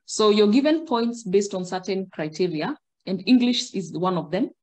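A woman's voice: mid-range voice, expressive, moderate pace, darkish tone. Kenyan woman explaining on a call. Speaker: guest, migration agent. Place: indoor room. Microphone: video call.

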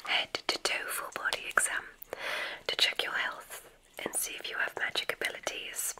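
A woman whispering in a breathy, gentle way, playing a gracious witch dean in an ASMR roleplay. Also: poor audio. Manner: gentle, drawn-out, effusively complimentary. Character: gracious, welcoming witch dean of a magic school.

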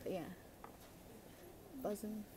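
Rambling young woman vlogging on a phone. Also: slow pace. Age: young adult. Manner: rambling, filler-heavy, offhand. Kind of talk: casual vlog chat. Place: busy street and cafe outside a concert venue. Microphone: phone at arm's length. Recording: loud music and crowd noise.

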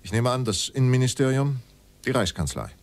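An actor playing a middle-aged former state secretary under questioning in a radio drama, formal, terse and defensive. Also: male voice, mid-range voice, big pitch swings, brisk. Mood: guarded. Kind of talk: documentary radio drama dialogue.

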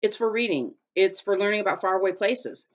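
A woman reading aloud voices Annie, a cheerful young girl, with a raised, lively voice. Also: mid-range voice, a little fast, voice pushed up, close to an everyday voice. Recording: dull lo-fi recording.

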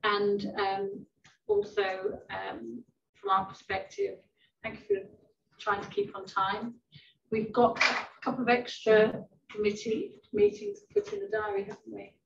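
A woman's voice: mid-range voice, very animated, measured pace, vocal fry, a little hiss or hum. A woman chairing a committee meeting, upbeat as she wraps up business. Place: large meeting room. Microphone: far room microphone. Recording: echoey room from far off, dull.

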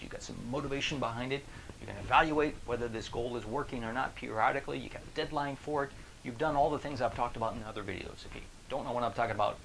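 Creaky-voiced American man, animated motivational speaker. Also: medium pitch, slight background noise, poor audio. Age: middle-aged. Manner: animated, conversational, emphatic, rhetorical questions. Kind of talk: casual motivational video talk to camera. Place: small indoor room. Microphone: lapel.

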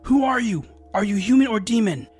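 A man voicing an alarmed, protective father in a folktale, shouting challenges to defend his home. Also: high voice, expressive, brisk, slightly rough, soft background music, pitched above the performer's own voice. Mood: alarmed, defiant.

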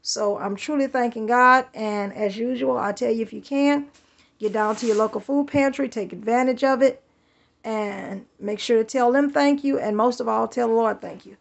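A woman's voice: fairly high pitch, normal speed, creaky, lo-fi sound. A woman, chatting thankfully as she shows off groceries in her home kitchen, filmed on a handheld phone. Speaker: vlogger. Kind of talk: casual home vlog. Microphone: handheld phone camera.

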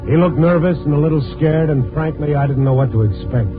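A man's voice: fairly high pitch, fairly quick, over music, close to an everyday voice. A man playing a world-weary, probing newspaper reporter in a 1950s radio noir drama, on a tinny old recording. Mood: brooding. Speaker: narrator and lead actor. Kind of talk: 1950s radio noir acting, first-person narration.

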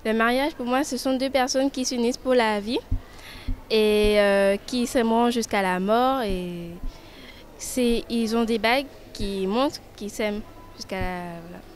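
A woman's voice: fairly high pitch, unhurried, slight background noise. A teenage schoolgirl giving brief, plain answers in an interview on a handheld mic. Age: teens.

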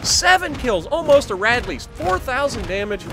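A man's voice: extremely high pitch, sing-song, normal speed, over music. A young American man casually telling a story over tank game play in short, emphatic phrases, as host of a gaming video.

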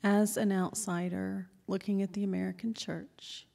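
A woman's voice: mid-range voice, level tone, moderate pace, gravelly, mellow. A middle-aged woman moderating a public event and leading it, speaking into a handheld microphone on an auditorium stage with long reverb.

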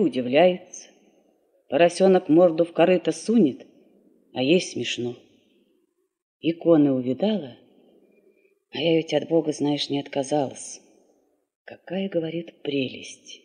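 A woman's voice: low voice, dark tone, husky, heavily acted. A woman playing a pious old village aunt, folksy and reproachful, in a 1970s Soviet radio play. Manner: folksy, lamenting.

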